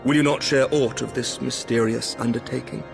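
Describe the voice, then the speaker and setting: Man acting a dignified medium-pitched lord commander. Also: steady tone, creaky, music in the background, lightly acted. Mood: gracious, probing. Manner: commanding, courtly, deliberate. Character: noble, gracious lord commander, dignified and dutiful. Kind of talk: scripted fantasy game dialogue, archaic formal English.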